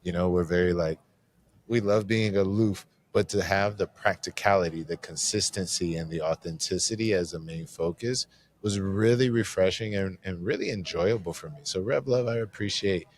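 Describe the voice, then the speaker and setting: A man with a very low, deep voice talks things through in a loose, reflective way, dropping casual slang as he goes. He is hosting a podcast interview recorded live at a conference. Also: normal speed. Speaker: podcast host. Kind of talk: conversational interview.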